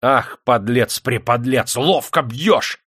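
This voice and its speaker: A man's voice: medium pitch, takes it slowly, fairly bright tone, slightly rough, no echo, studio quality. A man voicing a domineering, gleefully cruel eighteenth-century factory owner in an audiobook, bellowing and crowing his exclamations. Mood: excited, gleeful. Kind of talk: dramatised audiobook character voice.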